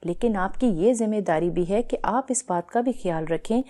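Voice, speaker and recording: A woman playing a calm, sensible mother who reassures and advises a friend in a children's moral story. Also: mid-range voice, close to an everyday voice. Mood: advising. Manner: reassuring, instructive.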